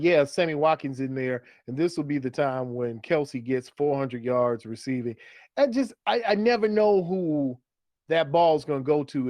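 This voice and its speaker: A man's voice: on the high side, a little mellow, no room sound. A man hosting a casual sports chat in a colloquial, conversational way, repeating phrases for emphasis. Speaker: fantasy football podcast host. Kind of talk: casual sports chat, steering segments and questions.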